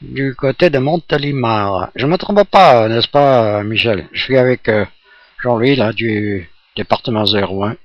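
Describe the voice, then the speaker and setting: A man's voice: mid-range voice, unhurried, dry recording, lo-fi sound. A jovial man chatting casually as an amateur radio operator, talking in a rambling, hesitant way.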